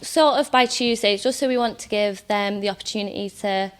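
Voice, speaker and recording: A woman's voice: medium pitch, a little fast, smooth. A woman from an amateur theatre society answering interview questions, explaining things step by step.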